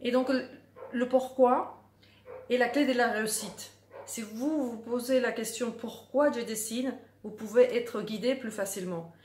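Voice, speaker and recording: A woman's voice: a little high, measured pace, darkish tone, slight background noise. A middle-aged woman, a drawing teacher, talking casually to the camera and earnestly stressing her points by repeating words. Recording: echoey room.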